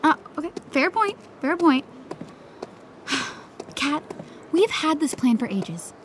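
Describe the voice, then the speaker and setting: A woman's voice: high-pitched, very animated, takes it slowly, a little hiss or hum, exaggerated voice. A woman playing a teasing, pushy but supportive teenage best friend in naturalistic podcast drama, speaking emphatically. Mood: pressing. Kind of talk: naturalistic fiction podcast acting.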